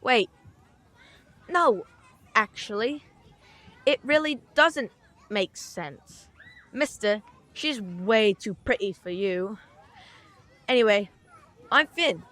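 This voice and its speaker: A woman's voice: mid-range voice, animated, takes it slowly, long pauses, a bit bright. A woman voicing a chatty, cheeky little British boy in an audio drama, with a frank, rambling, childlike manner. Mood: eager, frank. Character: chatty, cheeky, earnest little boy who loves comics.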